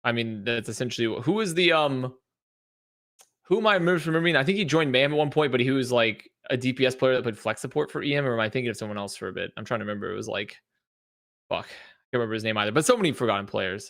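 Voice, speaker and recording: Fast-talking, bright-voiced man on esports podcast. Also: medium pitch, creaky. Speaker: podcast panelist. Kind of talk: casual esports discussion.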